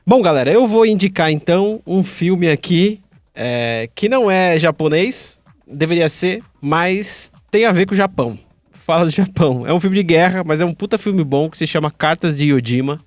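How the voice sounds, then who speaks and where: A man's voice: fairly high pitch, expressive, moderate pace, no room sound. A man with a Brazilian accent hosting a casual podcast chat in an informal, slangy way, with some swearing. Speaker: podcast host.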